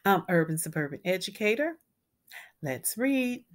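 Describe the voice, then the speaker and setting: A woman reading a children's picture book aloud as a storyteller, with deliberate, emphatic phrasing for young listeners. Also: mid-range voice, sing-song. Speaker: read-aloud storyteller and educator.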